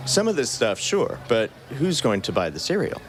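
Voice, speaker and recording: An actor playing an easygoing but exasperated human space adventurer, casual and wry, in an audio story. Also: male voice, mid-range voice, big pitch swings, normal speed, a little hiss or hum, lightly acted. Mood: haggling.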